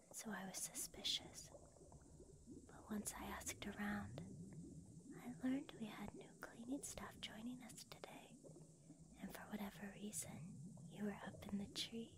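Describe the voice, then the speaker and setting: Casting: actress as gentle young female demon-slayer medic, whispered ASMR delivery, unhurried and soothing. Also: fairly high pitch, close to an everyday voice. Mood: calm, musing.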